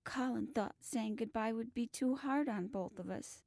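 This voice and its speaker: A woman's voice: a little high, normal speed, gravelly, a little mellow, dry recording, studio quality. An actress playing a guarded, defiant teenage girl in an audio drama, with a terse, defensive manner.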